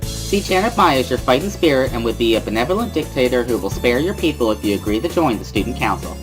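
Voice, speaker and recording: Woman acting a bubbly, animated schoolgirl over music. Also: very deep voice, quick pace, poor audio. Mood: playfully teasing. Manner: excitable, singsong, giggly. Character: bubbly teenage schoolgirl relaying a friend's signed words. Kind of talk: dramatic reading of visual novel dialogue.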